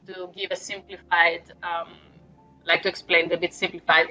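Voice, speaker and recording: A woman's voice: fairly low pitch, sharp tone, muffled recording. A woman in her forties from Greece with a Greek accent, a guest author talking reflectively about parenting, with light self-deprecating humor. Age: 40s. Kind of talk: casual interview talk.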